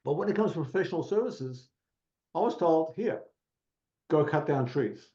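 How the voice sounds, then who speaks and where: A man's voice: fairly high pitch, expressive, moderate pace, warm tone, lo-fi sound. A man with an Australian accent whose first language is Italian, chatting as a guest on a podcast and telling his story in a casual, self-deprecating way.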